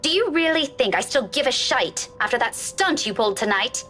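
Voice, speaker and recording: A woman's voice: fairly high pitch, expressive, normal speed, sharp tone, faint music behind. An actress playing a headstrong, irritable young woman in a visual novel, speaking curtly and firmly. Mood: irritated, firm.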